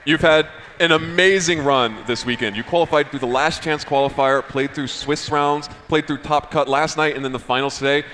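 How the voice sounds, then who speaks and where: A man's voice: fairly high pitch, steady tone, normal speed, hardly any pauses, bright, forceful tone. A man commentating and interviewing live at a video game tournament, with an enthusiastic, congratulatory manner. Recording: hall reverb, background noise.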